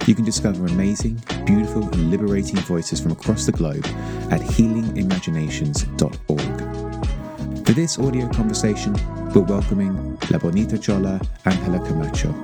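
A woman reading a scripted podcast introduction in a steady, formal way. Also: rumbling low. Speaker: podcast announcer. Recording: loud guitar music underneath.

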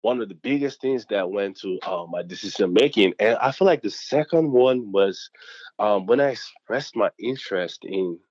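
A young man from Nigeria, speaking English as a second language, chats through his story in a low, lively voice full of fillers. The sound is dry and a little dull, as if coming through a remote link. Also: moderate pace, no room sound. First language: not English. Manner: animated, chatty, many fillers, acts out quotes. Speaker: student athlete guest. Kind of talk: casual interview storytelling.

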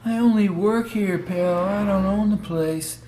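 A man's voice: sits high, unhurried, dark tone, slight background noise, pitched above the performer's own voice, poor audio. A man voicing a surly, laid-back stoner working as a gas attendant in an audio story. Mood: defensive.

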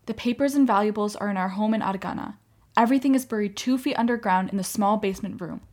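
A woman's voice: mid-range voice, quick pace, darkish tone, close to an everyday voice. A woman acting in a radio play as an anguished, defiant young Armenian mother, pleading with feeling. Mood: resolute, sorrowful. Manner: impassioned, pleading.

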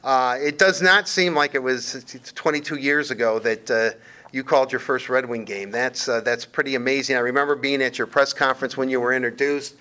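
A middle-aged man from Detroit, hosting a casual sports interview in a wordy, halting way. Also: mid-range voice, fairly even intonation, sharp tone, clear, resonant tone. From Detroit, Michigan. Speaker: sports show host, interviewer.

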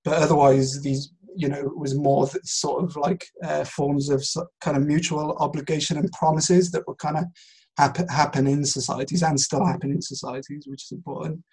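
A man with a fairly high voice that is husky and full of fry. He talks casually about ideas, feeling his way through explanations with lots of hedging and loose turns. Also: fairly even intonation, normal speed, a little mellow, low-quality recording. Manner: hesitant, rambling explanation with hedging. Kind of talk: casual intellectual discussion.

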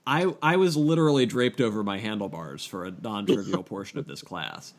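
A man's voice: fairly low pitch, animated, brisk. Self-deprecating man chatting casually. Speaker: podcast co-host. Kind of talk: casual chat among friends.

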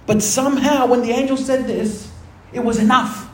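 A man preaching a sermon to his congregation, throwing rhetorical questions at them and shifting between quick asides and slow, low, weighty phrases. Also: fairly even intonation, echoey room, poor audio. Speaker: pastor.

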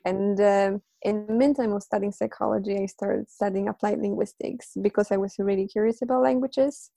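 A woman's voice: medium pitch, fairly even intonation, brisk, vocal fry, dry recording. A woman in her thirties, a native Polish speaker, reflectively answering interview questions about teaching and learning, thinking aloud as she goes. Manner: reflective, thinking aloud with fillers and soft laughs. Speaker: interview guest, language teacher and psychologist.

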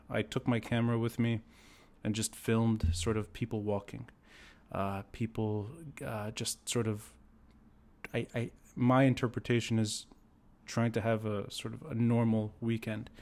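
A man's voice: mid-range voice, a little mellow. A man from Lebanon hosting a conversational podcast interview, thoughtful and reflective, piecing his thoughts together as he goes. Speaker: podcast host.

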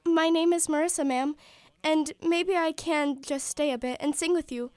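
High young girl's voice acted by a woman, poor match seller, polite, earnest, hopeful, radio drama, dry recording. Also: steady tone, normal speed, a little mellow, no room sound, close to an everyday voice. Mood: polite, grateful. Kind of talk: stage-style radio play acting.

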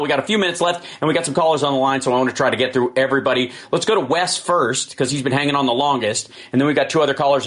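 A man filling in as host of a political talk radio show, talking fast and energetically with fillers and restarts. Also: medium pitch, a bit bright.

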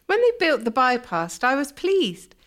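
A woman playing an expressive, candid townswoman in a radio drama. Her voice is fairly high and moves up and down in a very animated way. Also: a little slow, full character voice. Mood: rueful. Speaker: community theatre actor. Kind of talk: radio play acting.

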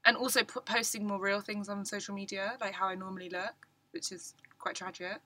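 A woman's voice: medium pitch, little pitch movement, fairly quick, pushed and bright. A young woman co-hosting a podcast, chatting casually, giggly and self-mocking with frequent ums. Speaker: podcast co-host. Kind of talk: casual chat.

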